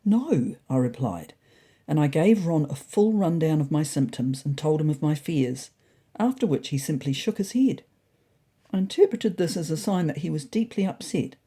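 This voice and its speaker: An expressive narrator tells a first-person animal story with wry drama. The voice is mellow and a little husky, and the pitch moves about in a very lively way. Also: normal speed.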